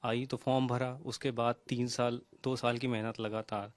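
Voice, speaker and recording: A man's voice: mid-range voice, flat delivery, moderate pace, dry recording. A young man explaining a grievance in a news interview, mixing in many English words. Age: young adult. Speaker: job candidate interviewed by news.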